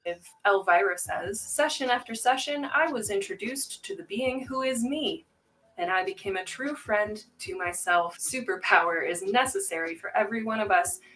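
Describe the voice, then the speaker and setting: Young woman reading testimonials with deadpan sarcasm, mid-pitched, heard from some distance. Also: moderate pace, slight background noise. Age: young adult. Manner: dry, sarcastic. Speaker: YouTuber reading magazine testimonials. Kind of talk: wry reading with commentary.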